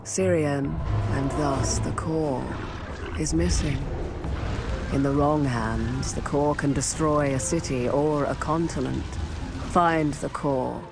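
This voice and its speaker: Slow, gloomy fantasy sage. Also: male voice, fairly high pitch, vocal fry, over music. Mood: gloomy, urgent. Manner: theatrical, portentous. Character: gloomy, dramatic city sage lamenting doom.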